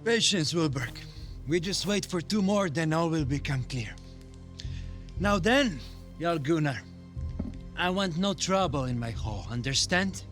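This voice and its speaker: Game Viking lord, higher-pitched voice, measured and authoritative, a host who expects obedience. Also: male voice, animated, a bit bright, faint music behind. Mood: calm, warning. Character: commanding Viking jarl hosting a gathering, far-travelled raider. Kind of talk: cinematic video game acting.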